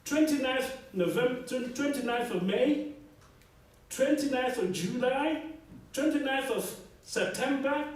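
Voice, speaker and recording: High-voiced man in an echoey hall. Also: lively intonation, measured pace. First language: Yoruba. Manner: emphatic, repeats key phrases, asks the room for agreement. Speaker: debate panelist. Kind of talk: impassioned public debate talk. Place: large echoey lecture hall. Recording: echoey hall from far off, faint noise.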